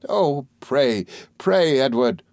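A timid young wife and mother from a classic storybook, acted out by a male narrator. The voice is lifted well up in pitch, faltering and pleading, with a meek, tearful temper. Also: normal speed, darkish tone, no echo, clean studio sound, natural voice. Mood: piteous pleading. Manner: faltering, pleading, repeating herself.

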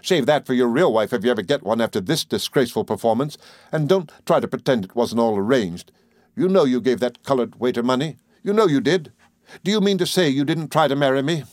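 A man voicing a furious, imperious young woman who scolds with sharp, scornful indignation in a storybook reading. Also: medium pitch, brisk, no room sound, clean studio sound, lightly acted. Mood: furious, accusing.

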